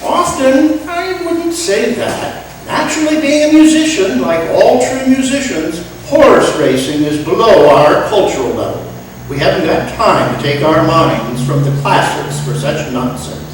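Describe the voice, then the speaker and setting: A woman playing an excitable, penniless music maestro with a gambling habit, theatrical and wisecracking in an old-time radio sitcom. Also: fairly low pitch, a little slow. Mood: blustering. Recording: echoey, distant stage recording, faint noise.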